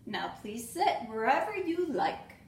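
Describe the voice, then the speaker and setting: A patient, cheerful primary school teacher, played by a woman reading a storybook aloud. She gives instructions slowly, with a lively rise and fall, in a roomy, echoing space. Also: a little high. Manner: drawn-out, instructive. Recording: roomy echo, clear background noise.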